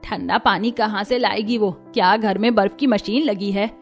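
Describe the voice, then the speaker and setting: A woman's voice: medium pitch, moderate pace, faint music behind, natural voice. A woman voicing a firm, protective older village mother-in-law in a cartoon, motherly and admonishing. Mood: indignant.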